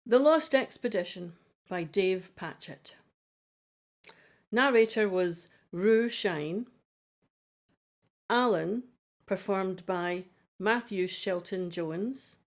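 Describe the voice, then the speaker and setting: A woman's voice: mid-range voice, slow, pauses often. A woman reading scripted credits for a radio play as its announcer.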